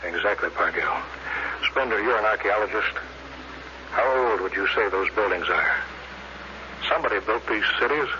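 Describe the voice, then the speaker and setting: An actor playing a stern, cautious rocket crew commander with clipped orders in a 1950s radio drama. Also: male voice, on the low side, moderate pace, long pauses, close to an everyday voice. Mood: stern, wary. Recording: muffled old radio recording, faint noise.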